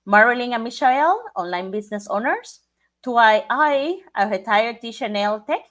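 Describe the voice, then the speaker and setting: A woman's voice: a little high, expressive, moderate pace, a bit bright. A woman from Cuba co-hosting a talk show, speaking plainly through lists of names. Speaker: podcast co-host.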